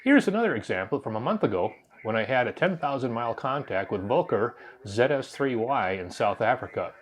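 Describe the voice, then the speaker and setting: A man's voice: mid-range voice, very animated, brisk. Man narrating a hobby vlog. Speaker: amateur radio video narrator. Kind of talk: scripted narration.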